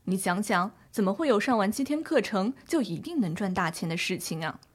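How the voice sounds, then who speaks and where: Woman playing an earnest young grandchild, medium pitch. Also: animated, brisk, slightly rough. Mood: indignant. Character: concerned grandchild, earnest and indignant, seeking legal advice. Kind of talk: scripted legal-education audio drama.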